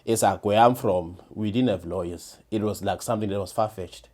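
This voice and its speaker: A man in his thirties talks casually as a podcast guest. His voice sits on the low side, and he often stops to restart and repeat his words. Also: fairly quick, a little mellow. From South Africa, KwaZulu-Natal. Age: 30s. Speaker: podcast guest, former defense attorney. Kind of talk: casual interview chat.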